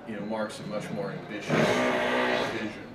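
Moderator, middle-aged man, thoughtful halting academic; loud noise in an echoey room. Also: on the low side, little pitch movement, recorded from a distance. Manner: measured, hesitant, with many uh and you know. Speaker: workshop moderator. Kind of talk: academic question at a workshop. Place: meeting room at a table. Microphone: far camera.

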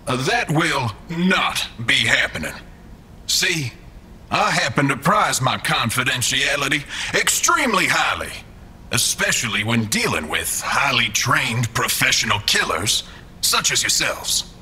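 An actor playing a smug, mocking mastermind employer in a scripted audio drama, with a theatrical, falsely polite, condescending manner. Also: male voice, medium pitch, sing-song, measured pace, pushed and bright, slight background noise, full character voice. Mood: amused, smug.

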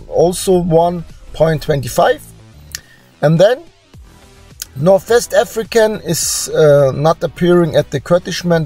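A man who is not a native English speaker, with a non-native accent, gives informal screen-share commentary as host, haltingly searching for words. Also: fairly high pitch, mellow. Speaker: host presenting DNA results.

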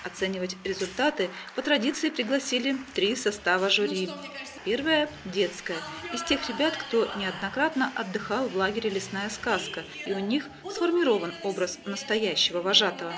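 A woman reading scripted news narration as a television reporter. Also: mid-range voice.

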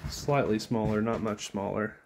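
A man's voice: lowish voice, steady tone, moderate pace, creaky, a little mellow, a little hiss or hum. A middle-aged man giving a casual, offhand walkthrough of a small house, thinking aloud as he films on his phone while walking from room to room. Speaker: tour guide. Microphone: phone held while filming.

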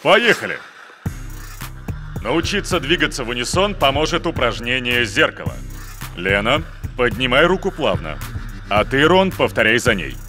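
An actor playing a calm, patient adult lion coach in a children's cartoon, speaking in a measured, instructive way. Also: male voice, medium pitch, faint music behind. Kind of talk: animated children's series acting.

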